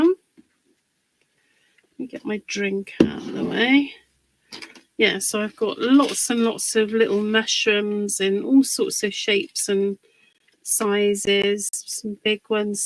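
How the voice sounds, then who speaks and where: Husky woman hosting a slow craft livestream. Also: mid-range voice, steady tone, a little hiss or hum, rough recording. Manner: chatty, greets viewers by name. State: husky from a cold. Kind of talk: casual live craft demonstration.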